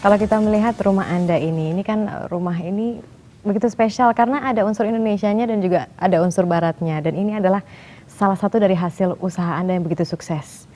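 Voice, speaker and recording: A woman interviewing a guest, asking brisk questions and humming along as she listens. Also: medium pitch, warm tone, soft background music.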